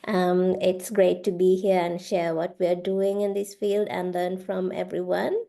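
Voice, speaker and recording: A woman from Sri Lanka, a research fellow giving a steady introduction to an online session, with frequent filler pauses. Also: on the low side, creaky.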